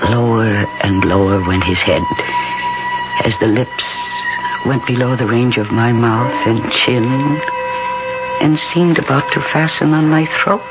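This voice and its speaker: A woman's voice: extremely low pitch, a little slow. An actress playing an anxious, devoted young woman, the heroine and narrator of an old-time radio horror drama, theatrical and intense. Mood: haunted, intense. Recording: dull vintage radio, loud music behind.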